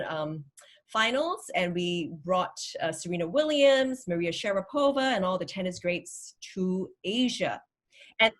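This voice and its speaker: A woman from Singapore with a Singaporean accent, giving an energetic, upbeat workshop talk over a video call. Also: mid-range voice. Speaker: workshop speaker. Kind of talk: online workshop presentation. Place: home room with virtual background.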